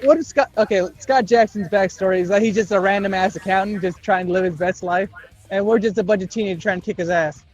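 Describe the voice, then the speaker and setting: A man's voice: high-pitched, fast talker, dull audio, faint music behind. A young man co-hosting a podcast in casual, improvised banter, joking and swearing and acting out bits of dialogue. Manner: jokey, profane, acts out bits of dialogue. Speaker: podcast co-host.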